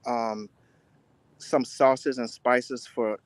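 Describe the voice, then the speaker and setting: A man's voice: mid-range voice, fairly even intonation, drawn-out words, no echo. Earnest man answering interview questions, dry sound. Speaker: guest, spice entrepreneur.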